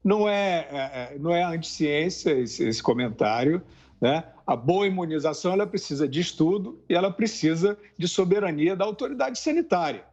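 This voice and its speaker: A man making a measured argument about institutions. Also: on the high side, animated, darkish tone. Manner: measured, arguing. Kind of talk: argumentative statement. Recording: dull lo-fi broadcast audio.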